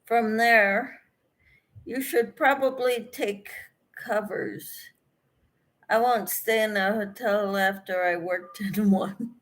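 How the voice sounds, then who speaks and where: Slow, chatty woman hosting a live chat. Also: mid-range voice. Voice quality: speaks in a monotone, as her husband says. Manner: slow, chatty, laughs often. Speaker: host reading viewer comments. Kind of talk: casual livestream chat.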